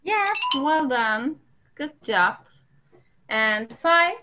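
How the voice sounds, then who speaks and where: A woman's voice: high voice, sing-song, drawn-out words, noisy background. A woman with a Turkish accent teaching an English class over a video call, patiently calling on students.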